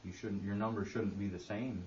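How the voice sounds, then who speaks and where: Blunt low-voiced man, distant from the mic. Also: fairly even intonation, normal speed, a little mellow. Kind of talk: debating at a public meeting. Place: indoor meeting hall. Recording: distant, muffled, echoey room with hiss.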